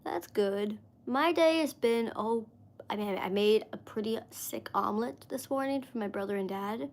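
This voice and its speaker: A woman in her thirties talks to her viewers in a loose, rambling live-stream chat. Her voice sits a touch high for a woman, and her sentences wander and restart, with plenty of "like" and "you know" in between. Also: normal speed. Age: 30s. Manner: rambling, chatty, full of "like" fillers.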